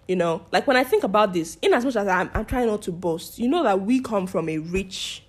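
A young woman, hosting a podcast and chatting loudly with lively energy. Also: medium pitch, a little fast, slightly rough. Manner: loud, hyped, animated storytelling. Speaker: podcast host. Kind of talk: casual chat.

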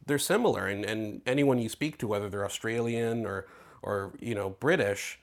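A man's voice: on the low side, moderate pace. A man from Greece interviewing a guest, chatty and frank, thinking aloud before posing questions. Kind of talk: conversational interview.

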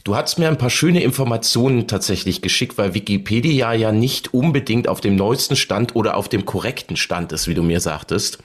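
A man's voice: lowish voice, animated, brisk, few pauses, breathy edge. A man hosting a podcast, presenting and interviewing in a casual way.

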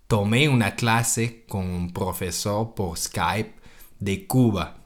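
A man co-hosting a podcast for Spanish learners, talking in a casual monologue, slow and self-correcting, often tagging his sentences with a questioning "no?". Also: fairly low pitch. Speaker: podcast co-host.

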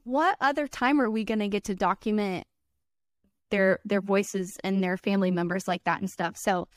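A woman's voice: medium pitch, normal speed, dry recording, clean studio sound. A woman wedding filmmaker, a podcast guest, talking in an earnest, chatty way full of "like" and "you know". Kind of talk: casual podcast chat.

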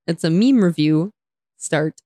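Young woman co-host, lowish voice, slightly raspy, giggly and chatty, dry close sound. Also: warm tone, no room sound. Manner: giggly, chatty, laughs often. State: slightly raspy from talking all day. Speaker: podcast co-host. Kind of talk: casual chat.

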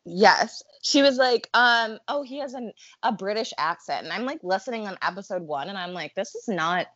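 A woman in casual chat, talking fast and loose with lots of filler words. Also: mid-range voice, lively intonation, a bit bright, no room sound, lo-fi sound. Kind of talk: casual chat about a reality show.

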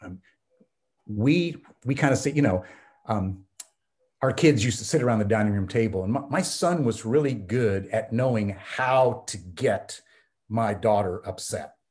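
A man's voice: fairly low pitch, lively intonation, measured pace, a little mellow. A man who is a theology professor and pastor, guest on a podcast, explaining ideas in an earnest teaching tone with frequent ums. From United States. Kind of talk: conversational podcast interview.

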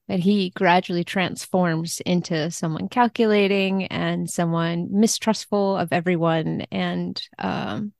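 Low-pitched woman, dry close sound. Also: darkish tone, dry recording. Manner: chatty, wry, quick to laugh. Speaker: guest, literary translator. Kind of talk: casual podcast conversation about books.

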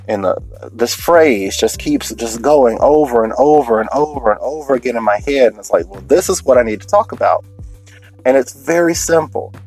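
A deep-voiced man talking alone in a late-night podcast from inside a parked car, sniffling a little as he gets over a cold. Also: darkish tone. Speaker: podcast host.

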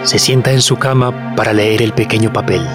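Male drama narrator over loud music. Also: lowish voice, steady tone, fairly quick.

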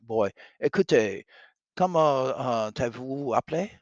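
Man reading young boy go-between, careful halting interpreter, Shakespeare play, dry sound. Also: medium pitch, lively intonation, darkish tone, no echo, muffled recording, natural voice. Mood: dutiful. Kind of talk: Shakespeare play read-aloud.

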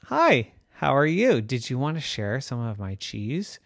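A man's voice: medium pitch, wildly swooping pitch, vocal fry, no room sound, studio quality, full character voice. A man voicing a sweet, sly female house cat with a sugary, coaxing manner. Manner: sugary, coaxing, drawn-out words.

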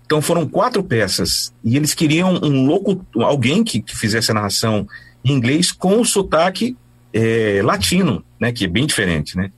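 A man's voice: medium pitch, moderate pace, slightly rough. A man with a Brazilian Portuguese accent, a voice-over announcer telling stories in a casual interview, chatty and full of restarts. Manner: animated, chatty, many restarts.

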